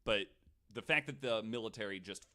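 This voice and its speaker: Bright-voiced man telling a podcast story. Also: on the low side, brisk. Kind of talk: casual comedic storytelling chat.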